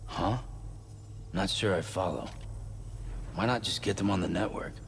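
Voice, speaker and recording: A man's voice: deep voice, a little slow, a little hiss or hum. An actor playing a terse, wary male courier who answers in clipped, doubtful questions, in a video game. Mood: puzzled.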